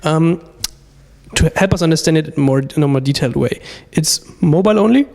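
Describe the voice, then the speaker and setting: A man conducting an interview, asking brisk, upbeat questions. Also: on the high side, gravelly, a little mellow, big-room sound.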